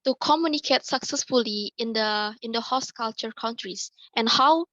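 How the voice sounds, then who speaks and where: A woman's voice: fairly high pitch, normal speed, a bit bright, no room sound, lo-fi sound. Young woman presenting research. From Indonesia. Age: young adult. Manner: hesitant, repeats and restarts words. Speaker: student presenter. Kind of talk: academic presentation. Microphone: video call.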